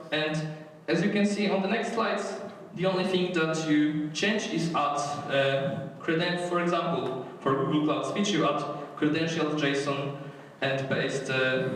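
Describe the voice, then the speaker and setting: A man's voice: a little high, fairly bright tone. Polish-accented man in an echoey hall. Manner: hesitant, many fillers and restarts. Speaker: workshop presenter. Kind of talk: live coding workshop talk. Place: conference hall. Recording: echoey hall, distant mic, faint noise.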